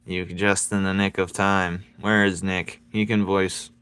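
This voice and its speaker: A man chatting casually with his viewers while he draws, playful and quick to laugh as he banters with them. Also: sits low, fairly bright tone, smooth, no echo. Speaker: streaming artist. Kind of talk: casual chat while drawing.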